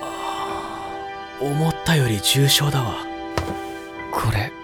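A man's voice: mid-range voice, slow pace, lightly acted. Man acting a blunt, exasperated teenage boy. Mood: baffled, exasperated. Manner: casual, sarcastic, incredulous. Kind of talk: Japanese anime audio drama acting. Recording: music behind the voice.

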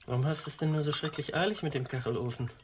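An actor playing an anxious, precise nephew who analyses everything, in a German radio drama. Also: male voice, fairly high pitch, racing, background noise, lightly acted. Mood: dutiful, coaxing. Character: fussy, anxious, analytical nephew, dutiful toward his aunt.

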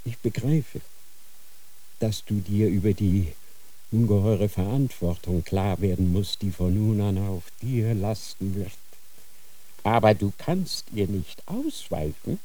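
A feverish dying old Grand Inquisitor. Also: male voice, on the low side, warm tone, poor audio. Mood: solemn. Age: older. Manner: slow, solemn dictating with sudden feverish outbursts. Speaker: actor in a radio drama. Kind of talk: radio drama acting.

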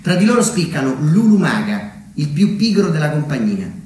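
A man delivering a scripted theatrical monologue as a storyteller, measured, with deliberate dramatic pauses. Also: fairly high pitch, sing-song, a little mellow. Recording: very echoey room, distant mic, slight noise.

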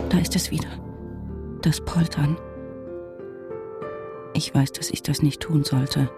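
Brooding wife in her 30s, lowish voice, hushed slow radio drama monologue, music behind it. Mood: tense, fearful. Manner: hushed, deliberate, short sentences, sometimes near whisper. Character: brooding wife of a vanished tycoon, 37, mother. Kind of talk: literary radio drama monologue, first person.

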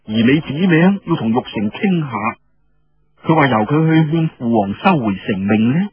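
A man's voice: on the high side, lively intonation, normal speed, slight background noise, lightly acted. A man voicing a worldly, chiding noblewoman who consoles like an older sister, emphatic, in a Cantonese storytelling. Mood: emphatic, frank. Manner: emphatic, scolding, sighing. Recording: dull lo-fi radio sound with room echo.

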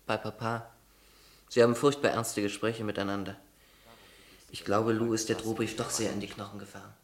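A man's voice: lowish voice, flat delivery, normal speed, a crowd in the background, close to an everyday voice. An actor playing a teasing, affectionate young man, an easygoing brother with a chatty, wry manner in a radio play. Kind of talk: German radio play acting.

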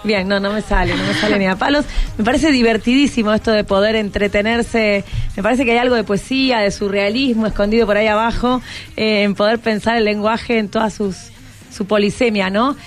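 A woman's voice: mid-range voice, fairly even intonation, fairly quick, soft background music. An Argentine woman hosting an informal radio interview, chatty and encouraging, often thinking aloud. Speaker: radio host interviewing students. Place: radio broadcast at a microphone.

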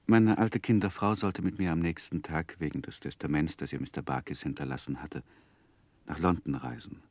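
Deep, close-miked male narrator, sorrowful and reflective, grave dramatic pauses, 1960s radio play. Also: a little mellow, no room sound. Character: grown man sorrowfully recalling his own past, reflective, haunted.

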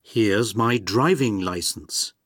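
A man reading phrases for a language course, slowly and deliberately, recorded close to the mic. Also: lowish voice, husky, no echo. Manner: slow, deliberate, carefully spoken phrases.

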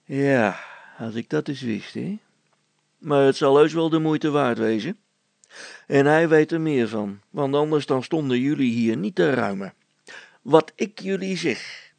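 A man's voice: mid-range voice, animated, unhurried, gravelly, darkish tone, no echo. A man voicing a blustering, scheming village constable in a storybook, hesitating and stammering as he talks. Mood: conspiratorial, urging. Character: scheming village constable, blustering, flustered before his superior.

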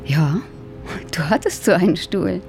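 An actress in a radio drama playing an anxious, caring older sister with a guilty streak, speaking naturalistically and intimately. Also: female voice, fairly low pitch, a little slow, creaky, a little mellow. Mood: gentle, wistful. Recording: music behind the voice. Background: music.

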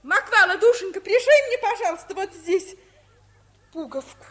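A carefree teenage girl from a village in an old radio musical comedy. Her voice is high and swings freely as she teases and wheedles with affection. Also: expressive, moderate pace, a bit bright. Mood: playful, coaxing. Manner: playful, wheedling.

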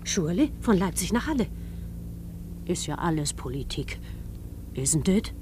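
A woman's voice: lowish voice, very animated, measured pace, background noise. An actress playing a chatty traveling woman who mixes English into her speech, in a radio play. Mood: chatty, concerned. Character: chatty traveling woman mixing English into German, anxious about her visa. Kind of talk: German radio play acting.

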